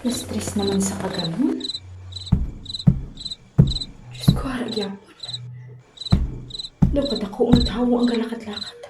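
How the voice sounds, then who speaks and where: A woman's voice: on the high side, unhurried, long pauses, heavy background noise, close to an everyday voice. A woman playing an anxious young student daughter in an amateur radio drama, with a Visayan Filipino accent. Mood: frightened, confused. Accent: Filipino, Western Visayas. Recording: echoey, loud music bed. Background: loud music.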